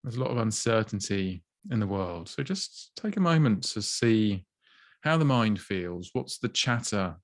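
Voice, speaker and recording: A man gently guiding a body scan meditation, slow and soothing with long pauses. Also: fairly low pitch, sing-song, dry recording, clean studio sound. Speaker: mindfulness teacher.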